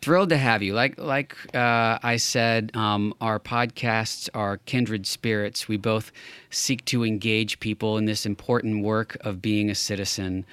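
Guy podcast host, smooth clear voice, pauses a lot mid sentence, really dry close sound. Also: lowish voice, fairly even intonation, a bit bright, no echo. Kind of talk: conversational podcast hosting and interviewing.

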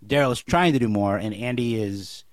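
A man co-hosting a podcast, discussing a TV show casually in a measured, analytical way. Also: fairly low pitch, no echo, studio quality. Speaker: podcast co-host.

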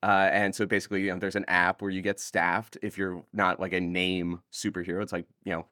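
A man chatting casually as an interview guest, halting and correcting himself in an informal way. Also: low voice, little pitch movement, sharp tone, dry recording, clean studio sound. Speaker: interview guest, audio drama creator.

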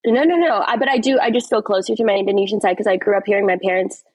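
A woman's voice: mid-range voice, racing, a little mellow, no room sound. A young woman, an actress guest on a podcast, chatting and telling stories with frequent laughs and lots of "like". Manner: chatty storyteller, laughs often, fillers like "like". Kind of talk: casual interview chat.

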